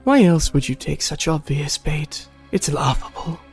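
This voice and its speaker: A streamer voicing a mocking, sinister male digital villain who talks in slow taunts and drops to whispers. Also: medium pitch, expressive, soft background music. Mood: manipulative. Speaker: streamer voicing a visual novel.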